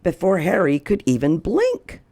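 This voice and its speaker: Slow, animated storyteller with a creaky edge. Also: mid-range voice. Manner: expressive, slow, drawn-out emphasis. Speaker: storyteller reading a picture book aloud. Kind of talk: animated children's read-aloud.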